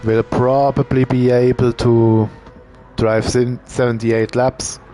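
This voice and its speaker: Slow, relaxed male race commentator. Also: medium pitch, soft background music, low-quality recording. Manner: relaxed, thinking aloud, with fillers. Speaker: sim racing commentator.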